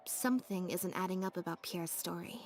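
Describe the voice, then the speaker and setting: An actress voicing a reserved, composed young woman in a video game, with an understated, measured, soft-spoken delivery. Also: lowish voice, close to an everyday voice. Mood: pensive, composed. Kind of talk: scripted video game voice acting. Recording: game audio under clear music. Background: background music.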